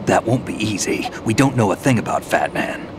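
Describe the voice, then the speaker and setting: A man's voice: on the low side, lively intonation, brisk, noisy background, close to an everyday voice. An actor playing a seasoned male soldier who talks in a dry, laconic way in a game cutscene. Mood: dry gruff. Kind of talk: video game cutscene dialogue.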